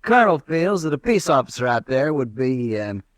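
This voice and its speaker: A man's voice: mid-range voice, big pitch swings, normal speed, no room sound, clean studio sound, natural voice. A man playing a proud, arrogant young army lieutenant in a 1950s radio drama, clipped and formal. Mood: haughty.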